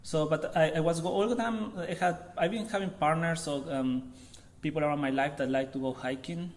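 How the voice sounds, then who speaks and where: A man from Chile in his thirties with a Chilean accent, giving an informal artist talk to an audience in a halting way, searching for words. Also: a little high. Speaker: visiting artist.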